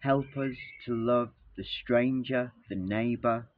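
A man leads a litany prayer in a solemn, measured way. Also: medium pitch. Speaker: prayer leader. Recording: tinny, phone-like line with faint hiss.

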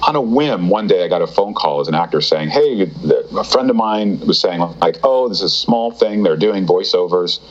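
Deep-voiced man rambling through his story. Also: expressive, normal speed, few pauses, highs cut off. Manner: rambling, restarts, many fillers. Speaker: guest, TV writer. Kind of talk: casual interview storytelling.

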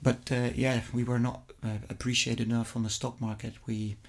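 A middle-aged man from Flemish-speaking Belgium, a native Dutch speaker with a Flemish accent, answering interview questions conversationally and somewhat haltingly. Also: mid-range voice, level tone, gravelly. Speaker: interview guest, investment fund executive.

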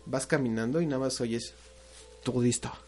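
A man's voice: medium pitch, steady tone, normal speed, dark tone. A young man with a Mexican accent chats loosely on a podcast, joking and swearing as he tells a story. Faint music plays underneath him. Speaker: podcast co-host. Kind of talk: casual chat.

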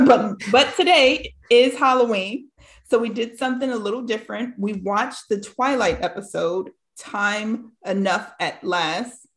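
Teasing, animated woman podcast host. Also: fairly high pitch, a little slow, poor audio. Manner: teasing, animated, laughs a lot. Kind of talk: casual podcast chat.

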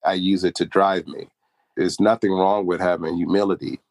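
A man's voice: low voice, fairly even intonation, normal speed, darkish tone, no room sound. A young man talking earnestly and reflectively about pride and humility in a casual podcast chat. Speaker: podcast co-host.

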